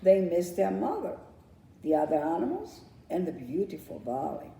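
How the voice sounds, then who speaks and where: A woman narrates a children's storybook in a very dark, mellow voice with some gravel in it. Her phrasing is dramatic and drawn out, with lively swings in pitch. She sounds set back from the microphone in an echoey room. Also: moderate pace. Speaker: children's author reading own book. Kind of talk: storybook read-aloud narration. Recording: roomy echo, distant microphone.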